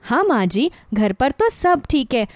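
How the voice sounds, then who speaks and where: A woman's voice: high-pitched, very animated, a little slow, dry recording, clean studio sound. A woman playing a sweet, dutiful young daughter-in-law, eager to please, in a moral story. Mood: caring. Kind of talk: acted dialogue in a moral story.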